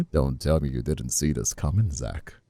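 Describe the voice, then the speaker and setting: A man voicing a smug, menacing, vengeful mob boss in an ASMR audio roleplay, with a slow, mocking, theatrical drawl and a gravelly, husky voice. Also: dry recording, studio quality, full character voice. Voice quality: very deep, gravelly, dark, husky.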